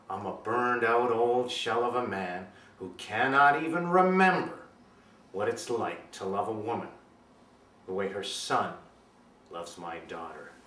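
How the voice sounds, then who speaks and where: A man playing an impassioned aging father in a dramatic film monologue, speaking with deliberate, emphatic oratory. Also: fairly low pitch, a bit bright. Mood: measured, wounded. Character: aging father, earnest and impassioned, defending young love. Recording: echoey room, distant mic, faint noise.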